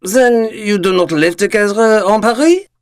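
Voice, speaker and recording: A man's voice: sits high, normal speed, lightly acted. An actor playing a pompous, amused male village mayor who questions people incredulously in an audio drama of a short story.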